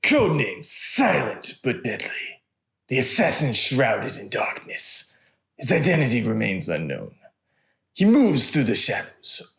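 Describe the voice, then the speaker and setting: A man's voice: medium pitch, a bit bright. A man reading his own short story as a dramatic, suspenseful narration with theatrical delivery.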